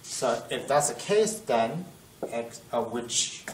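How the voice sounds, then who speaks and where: A man's voice: mid-range voice, animated, takes it slowly. A young man working through a math problem at a whiteboard in a casual lesson, thinking aloud and correcting himself, his voice strained as if he is losing it. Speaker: math tutor. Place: classroom at a whiteboard. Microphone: camera-mounted mic at a distance. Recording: echoey room, distant camera mic, faint noise.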